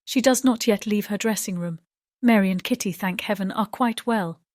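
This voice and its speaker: A woman voicing a gentle, dutiful young lady in an audiobook, composed and earnest. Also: mid-range voice, normal speed, no room sound, studio quality, close to an everyday voice. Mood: hopeful, earnest. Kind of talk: dramatized audiobook character reading.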